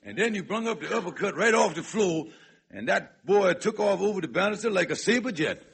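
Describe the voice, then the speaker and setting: A man playing a scheming, henpecked husband in old-time radio comedy, with a raspy voice and excitable, wheedling, folksy ungrammatical speech. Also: high voice, fairly quick, full character voice. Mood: gleeful storytelling. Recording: vintage radio broadcast recording.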